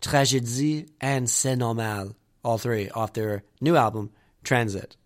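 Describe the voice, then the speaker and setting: A man's voice: mid-range voice, a little slow. A man hosting a community radio music show, talking with a head cold that makes him sound nasal and rambling with many fillers. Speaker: radio host.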